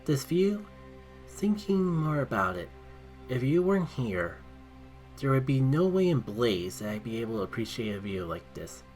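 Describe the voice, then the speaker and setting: A man voicing a fiery, snarky but warm girl heroine in a story. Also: medium pitch, sing-song, moderate pace, faint music behind, close to an everyday voice. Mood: musing.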